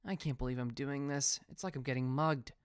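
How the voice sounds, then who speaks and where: A woman playing an earnest, awkward teenage boy superhero in a comic dub, casual and expressive. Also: rumbling low, fairly quick, smooth, no room sound, clean studio sound. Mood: exasperated.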